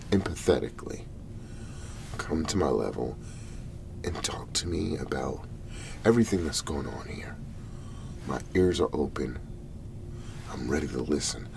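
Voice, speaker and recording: A man playing a hesitant government agent in an ASMR roleplay, speaking in a soft, gravelly half-whisper with halting, reassuring delivery. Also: extremely low pitch, full character voice. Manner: halting, deliberate, reassuring, with long pauses. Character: hesitant, placating male government agent negotiating with a farmer.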